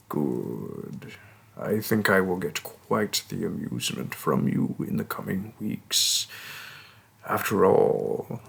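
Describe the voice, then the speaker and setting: A man voicing a hulking tusked orc shaman, stern and gruff yet hospitable, speaking with formal, deliberate phrasing in a fantasy roleplay audio. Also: low-pitched, a bit bright, pitched below the performer's own voice, exaggerated voice. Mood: stern, commanding. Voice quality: gravelly, husky.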